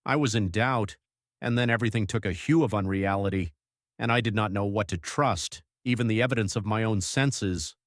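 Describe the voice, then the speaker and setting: A man narrating an audiobook as an earnest young husband reading out his journal in a measured, literary way. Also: fairly low pitch, no echo, studio quality, natural voice. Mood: earnest confiding.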